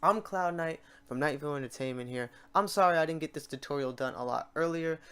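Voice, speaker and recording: Casual man walking through a software tutorial. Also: fairly high pitch, a little fast, smooth. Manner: informal, talks to himself while clicking through steps. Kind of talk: casual unscripted screen-recorded tutorial narration.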